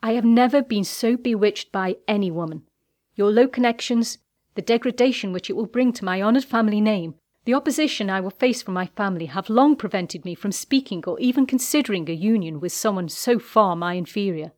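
A woman narrator acts out a proud young gentleman of a period romance novel. He speaks in formal, declamatory phrases, ardent and intense, utterly sure of himself. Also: mid-range voice, moderate pace, lightly acted.

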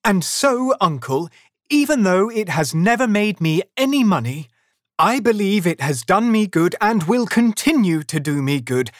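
A narrator voicing a cheerful, warm-hearted young nephew in a storybook, speaking earnestly and good-naturedly. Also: medium pitch, very animated, a little slow, no echo, clean studio sound, pitched above the performer's own voice.

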